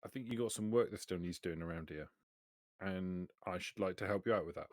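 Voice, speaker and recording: A man's voice: low voice, fairly quick, no echo, studio quality. A man playing in a tabletop roleplaying game, chatting casually in character. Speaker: player.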